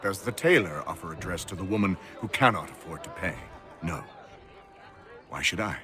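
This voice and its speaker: An actor playing a cynical, mercenary colonial physician in a video game, arguing in a theatrical, emphatic, rhetorical way. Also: male voice, low voice, animated, normal speed, over music. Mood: self-justifying. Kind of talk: video game cutscene acting.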